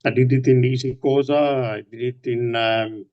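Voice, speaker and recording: A man's voice: mid-range voice, fairly even intonation, moderate pace. A man whose first language is Afrikaans, talking casually with many fillers and restarts. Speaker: participant sharing ideas. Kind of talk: casual discussion. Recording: dull lo-fi sound.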